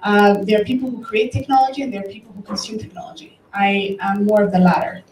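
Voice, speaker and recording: A woman's voice: medium pitch, steady tone, fast, a little mellow. A woman from Ethiopia giving an informal, conversational talk to an audience, telling stories and addressing listeners directly. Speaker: conference speaker, tech company director. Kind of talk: informal public talk with storytelling. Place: event room, before an audience. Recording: roomy, distant mic, faint background noise.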